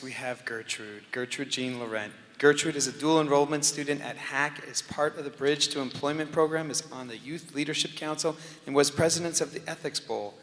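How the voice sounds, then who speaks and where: Man reading a scholarship intro, bright voice, slow, echoey church. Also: mid-range voice. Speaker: awards presenter. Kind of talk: reading award citations. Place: large church. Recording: reverberant church hall.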